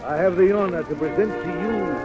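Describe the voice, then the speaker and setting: Radio play dignitary for a male actor: formal, stately orator, high register, dull vintage sound. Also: a little fast, loud music behind the voice, lightly acted. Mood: solemn, ceremonious. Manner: stately, ceremonious, declamatory. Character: formal, solemn male Nobel committee official presenting a prize. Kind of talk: 1930s radio acting, ceremonial speech. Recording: muffled old radio recording, long hall reverb.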